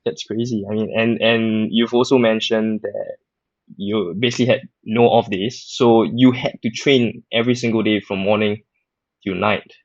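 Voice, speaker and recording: A man's voice: on the low side, fairly even intonation, moderate pace, dry recording. A man hosting a podcast, checking back on what his guest said and asking follow-up questions. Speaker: podcast host. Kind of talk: casual interview questions. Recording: dry, muffled lo-fi audio.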